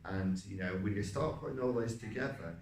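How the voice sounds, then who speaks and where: A man with a deep voice, a stage actor talking through a role, hesitant with many fillers. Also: brisk, a little mellow, a little hiss or hum. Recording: roomy, from a distance.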